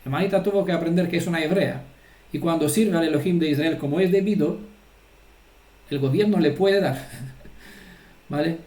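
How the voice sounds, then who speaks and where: A man's voice: fairly high pitch, normal speed, long pauses, mellow, roomy sound. A man giving an informal, earnest religious teaching and often checking that his listeners follow. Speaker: Torah teacher.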